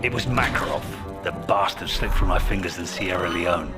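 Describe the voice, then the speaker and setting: An actor playing a hard-bitten, defiant British special forces soldier in a game, a man who talks gruffly and tersely. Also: low-pitched, moderate pace, over music, natural voice. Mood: bitter, demanding. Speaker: actor in a military shooter game. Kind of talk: cinematic game voice acting.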